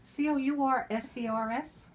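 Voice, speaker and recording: A woman's voice: sits high, steady tone, moderate pace, a little hiss or hum. A middle-aged woman, very drunk, reading a Christmas storybook poem aloud, stumbling over words and breaking off into irreverent asides. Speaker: mother reading a Christmas poem to her family.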